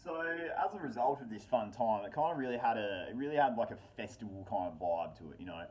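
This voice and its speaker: A man's voice: on the low side, animated, fast talker, a little mellow, rough recording. A man telling a casual, rambling personal anecdote with slangy swearing and dramatic repetition. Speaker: storyteller.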